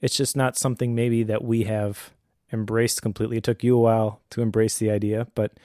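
A middle-aged man talking conversationally as a host, giving earnest, encouraging advice in a measured way. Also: on the low side, little pitch movement, dark tone, dry recording, studio quality. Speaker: podcast host, homeschooling father.

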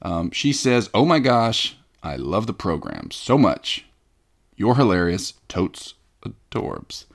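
A man's voice: lowish voice, huge pitch swings, slow pace, vocal fry. A man hosting a comedic podcast, reading fan mail in a pompous, self-important, mock-indignant way. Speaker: podcast host. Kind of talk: comedic scripted podcast banter.

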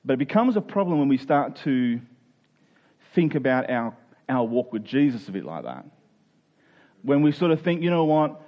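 A man's voice: mid-range voice, very animated, moderate pace, long pauses. A man preaching in an informal, conversational way, as a pastor speaking to his church. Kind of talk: conversational sermon with everyday illustrations, prayer and scripture reading. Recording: dull, lo-fi sermon recording.

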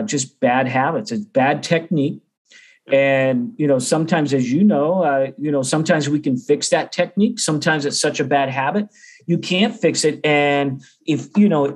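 A middle-aged American man talking casually and conversationally as an interview guest about his own experience. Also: a little high. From Boston area, United States. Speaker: percussion teacher, interview guest.

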